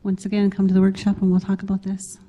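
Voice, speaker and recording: Woman, panel speaker, dark mellow tone, conversational with fillers, hall reverb. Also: mid-range voice, completely flat delivery, quick pace, a little hiss or hum. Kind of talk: panel discussion. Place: conference hall.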